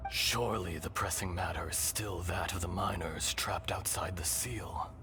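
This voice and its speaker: A man voicing an ancient, blind earth dragon in a game cutscene, slow, solemn and measured. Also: low-pitched, fairly even intonation, close to an everyday voice. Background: game score music.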